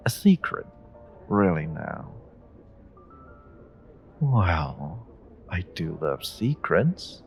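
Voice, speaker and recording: A man acts out a confident, flirty stranger in a romantic audio roleplay. His low voice has a gravelly creak and swings expressively as he teases and charms the listener in a playful, coy way. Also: darkish tone, full character voice. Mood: coaxing, intrigued. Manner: teasing, coy, unhurried, murmuring endearments. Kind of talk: romantic audio roleplay, one-sided dialogue addressed to the listener.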